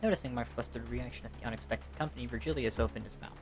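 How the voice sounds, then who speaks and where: A woman's voice: extremely low pitch, rapid-fire. A woman reading scripted story narration as an amateur voice-over, now and then stumbling over the text, with music behind her.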